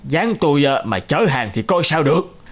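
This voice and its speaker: Snooty, animated cartoon horse. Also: male voice, a little high, normal speed, soft background music. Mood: disdainful. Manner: haughty, dismissive. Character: snooty male horse, proud of noble birth. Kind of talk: cartoon fable acting.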